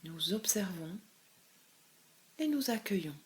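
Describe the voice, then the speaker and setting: A woman leading a guided meditation as a calm, measured guide, giving gentle step-by-step instructions with pauses. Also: mid-range voice. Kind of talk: guided body scan meditation.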